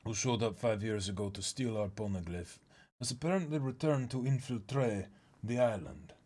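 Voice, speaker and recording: Brief: a stiff, pompous knight read by a man; low and formal. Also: normal speed, darkish tone, lightly acted. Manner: formal, stumbling reading.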